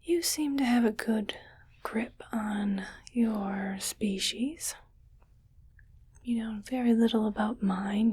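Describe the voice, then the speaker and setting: A rich alien lady acted by a woman speaks in an airy, hushed whisper for an ASMR roleplay. Her delivery is slow and deliberate, with a lightly raised pitch. She sounds detached and curious, talking down to her human pet with cool condescension. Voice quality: breathy whisper.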